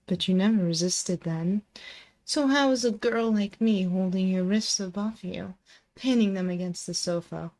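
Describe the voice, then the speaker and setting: A woman's voice: fairly low pitch, a little mellow, poor audio. A woman performing an intimate ASMR roleplay as a possessive, teasing demon in a girlfriend's body, coaxing and condescending, close on a binaural mic. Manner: slow, coaxing, condescending pet-name teasing. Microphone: close binaural microphone.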